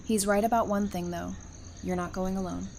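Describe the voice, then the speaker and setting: A woman's voice: medium pitch, fairly even intonation, normal speed, creaky, darkish tone, a little hiss or hum, natural voice. A woman playing a feisty, loyal survivor in an audio drama, frank and sardonic. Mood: wry, frank. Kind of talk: fan audio drama acting.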